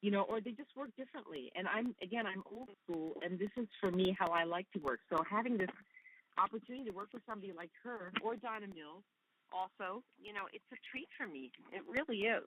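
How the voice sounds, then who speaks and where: A woman's voice: medium pitch, expressive, a little fast, a bit bright, highs cut off. A woman from Canada whose first language is Hungarian, talking effusively as a guest actress in a casual interview.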